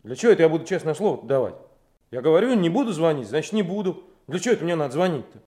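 A man's voice: on the high side, big pitch swings, rapid-fire, mellow. A man playing a stubborn, indignant young trainee detective in a Soviet radio drama, speaking bluntly. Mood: stubborn, aggrieved. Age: young adult.

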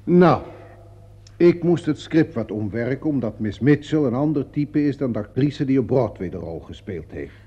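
An actor playing a self-assured, impatient theatre producer in a radio play, brusque and sardonic. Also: male voice, medium pitch, animated, moderate pace, husky. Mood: irritated, curt. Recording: dull, muffled old radio recording.

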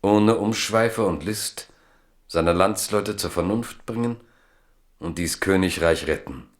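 Low-voiced male radio play narrator. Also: slow.

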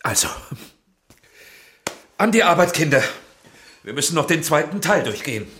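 A man's voice: a little high, very animated, normal speed, a bit bright, slight background noise. A man playing a courteous, uneasy film director and father in a German radio drama, mild and hesitant. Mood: reassuring.

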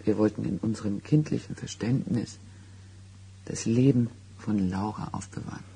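An actor playing a haunted man in a German radio play, recounting an eerie childhood secret in halting, dramatic phrases, with a husky, gravelly voice. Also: on the low side, mellow, slight background noise. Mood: intense, confiding. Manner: halting, dramatic storytelling with weighted pauses.

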